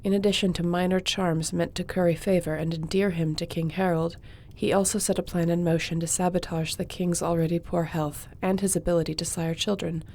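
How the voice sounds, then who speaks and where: A woman playing a loyal court sorceress in a fantasy audio roleplay, speaking in a measured, formal, gently supportive way. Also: on the low side, slight background noise, natural voice. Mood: composed, supportive. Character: loyal, composed court sorceress advising her king.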